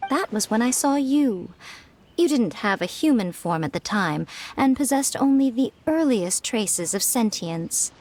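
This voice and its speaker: A woman's voice: a little high, lively intonation, a little slow, darkish tone. A woman voicing a powerful fox yokai priestess in a video game, composed, elegant and teasing. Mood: calm, knowing. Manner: composed, sly, elegant, self-assured.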